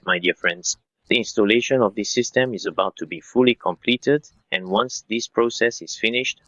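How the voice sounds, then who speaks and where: Bright, forceful low-voiced man giving a tutorial. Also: dry recording, highs cut off. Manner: instructional, addresses viewers as guys. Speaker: software tutorial presenter. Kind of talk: step-by-step tutorial narration.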